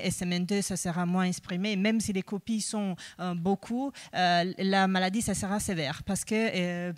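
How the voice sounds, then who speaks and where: A middle-aged woman speaks with an Italian accent as she presents research at a conference. She pauses often and restarts her sentences. Her voice is smooth and resonant, and the sound is dry and close. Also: medium pitch, no echo. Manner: hesitant, many fillers and restarts. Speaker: researcher giving a conference talk.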